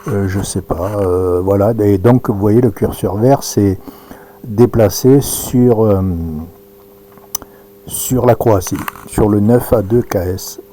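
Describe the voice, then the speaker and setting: A man giving an informal live demonstration as an amateur radio operator, halting and thinking aloud as he works. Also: fairly low pitch, fairly even intonation, warm tone. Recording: noisy recording with audible hum.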